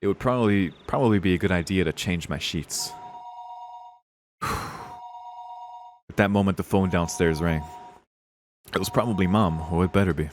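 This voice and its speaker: A man's voice: low voice, moderate pace, long pauses, slight background noise. A man giving casual, foul-mouthed, emotionally invested commentary on a visual novel as he plays it and reads it aloud. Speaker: streamer playing and reading a visual novel. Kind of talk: casual let's play commentary mixed with reading game text aloud.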